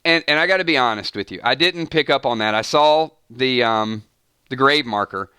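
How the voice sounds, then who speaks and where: A man's voice: mid-range voice, normal speed, pushed and bright, clear, resonant tone, dry recording, studio quality. A man hosting a fan live stream, chatting casually with viewers and breaking off mid-sentence with "hold on" and "wait a minute.". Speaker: fan channel host. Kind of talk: live-stream commentary reading chat.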